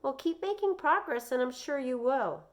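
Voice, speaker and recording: A woman reading a children's novel aloud, voicing a kindly, firm schoolteacher with a reassuring, measured manner. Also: sits high, lively intonation, voice pushed up. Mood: friendly.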